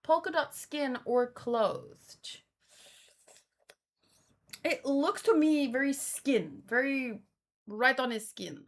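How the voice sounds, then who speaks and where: A woman hosting a read-along in a casual live commentary style, with expressive, exclamatory reactions. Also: high-pitched, takes it slowly, long pauses. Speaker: host of a manga read-along stream.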